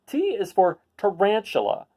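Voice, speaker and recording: A man's voice: high-pitched, wildly swooping pitch, a little slow, warm tone. A man reading a children's picture book aloud, adding casual asides and putting questions to his listeners. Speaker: read-aloud host.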